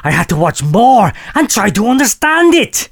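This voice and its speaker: A male comedy host reads a listener letter with exaggerated, sermon-like gravity. His pitch swings widely and he stretches his words out. He keeps cracking up partway through lines. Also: sing-song. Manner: drawn-out, preacherly delivery breaking into laughter. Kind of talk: mock-solemn comic letter reading.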